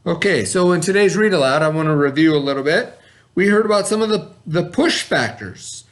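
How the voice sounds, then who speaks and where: A man's voice: fairly high pitch, lively intonation. Man teaching a children's read-aloud lesson. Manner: patient, asks children questions and pauses.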